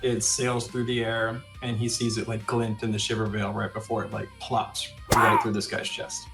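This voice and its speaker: A man's voice: lowish voice, huge pitch swings, moderate pace. A man casually narrating his own character's moves as a player in a tabletop roleplaying game. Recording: roomy sound with faint music behind.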